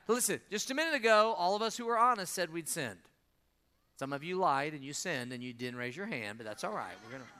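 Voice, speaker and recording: A pastor, preaching a sermon and drawing answers from the congregation. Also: male voice, sits high, sharp tone. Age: 50s. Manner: call-and-response preaching, asks the congregation to answer.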